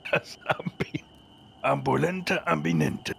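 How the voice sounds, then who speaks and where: Gravelly man playing a tabletop game. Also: fairly high pitch, wildly swooping pitch, takes it slowly, fairly bright tone. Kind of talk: casual game chat.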